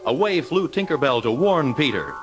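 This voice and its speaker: A man narrating a children's storybook from a script, with dramatic, measured delivery. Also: fairly high pitch, sing-song. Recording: loud music under the voice.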